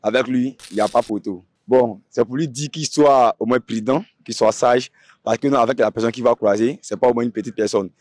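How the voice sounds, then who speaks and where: A man's voice: medium pitch, brisk, a little mellow, no room sound, studio quality. A man with an Ivorian accent, a student supporter answering casually in a confident, emphatic way. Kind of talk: casual street-interview answer.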